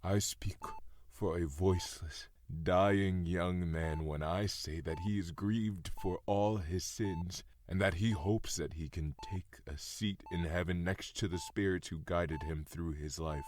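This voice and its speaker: A male actor playing a grief-broken older imprisoned father in an audio drama, halting, anguished and pleading. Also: low voice, fairly even intonation, darkish tone. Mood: grieving, prayerful.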